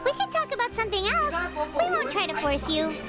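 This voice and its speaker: A tiny, childlike fairy sidekick from a video game. The voice is extremely high and squeaky, bouncing around in pitch. It is chirpy, curious and blunt, over loud game music. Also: female voice, very animated, a little fast. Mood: curious, awkward. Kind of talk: video game character acting.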